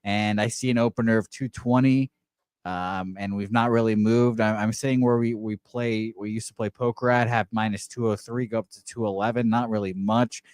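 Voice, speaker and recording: Lowish voiced guy, english not his first language, tossing out his picks with lots of uh, dry mic. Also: fairly even intonation, normal speed, darkish tone, clean tone, dry recording. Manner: casual, self-correcting, lots of uh. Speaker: betting analyst. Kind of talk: sports betting radio talk.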